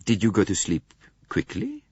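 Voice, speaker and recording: Orderly, courteous older detective, read by a man in a low husky voice; polite probing questions, dull dry recording. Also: wildly swooping pitch, normal speed, a little mellow, dry recording, heavily acted. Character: fastidious, courteous older male Belgian detective.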